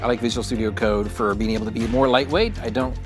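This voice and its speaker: A man's voice: mid-range voice, fairly even intonation, fast talker, soft background music. A man co-hosting a live tech event stream, easygoing and chatty, with plenty of fillers. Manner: easygoing, filler-heavy chat. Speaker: event co-host.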